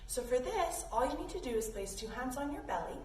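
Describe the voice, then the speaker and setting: High-voiced woman teaching kids yoga, echoey room. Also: very animated, a little fast. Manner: encouraging, instructing listeners directly, addressing them as friends. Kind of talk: guided kids yoga lesson, playful. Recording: roomy echo, distant mic, faint noise.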